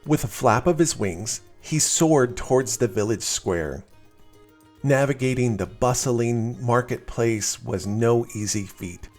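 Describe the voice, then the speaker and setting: A man reading a scripted children's story as narrator, in an expressive storytelling style. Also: medium pitch, takes it slowly, soft background music.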